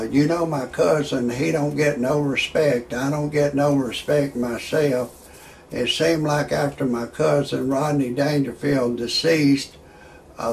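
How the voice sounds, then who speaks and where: A man in his sixties talking in a rambling monologue with stand-up style jokes, halting often on fillers and restarts. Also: medium pitch, slight background noise. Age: 60s. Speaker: amateur online show host.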